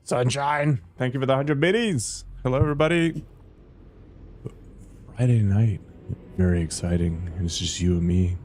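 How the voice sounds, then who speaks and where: A man's voice: mid-range voice, normal speed, pauses often, a little mellow, a little hiss or hum. A man streaming a video game, chatting casually and crudely with his viewers and poking fun at himself. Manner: joking, crude, self-mocking. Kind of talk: casual gameplay commentary to chat.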